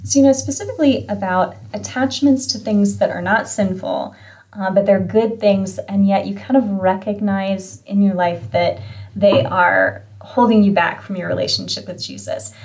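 A woman's voice: medium pitch, fairly even intonation, moderate pace, darkish tone. Lady podcast host, chatty, thoughtful questions. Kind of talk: podcast interview.

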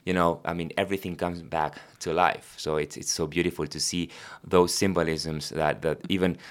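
A man from Argentina with a very low voice and Spanish as his first language. He speaks earnestly and reflectively about faith, often pausing on small fillers. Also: level tone. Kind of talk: casual conversation on faith.

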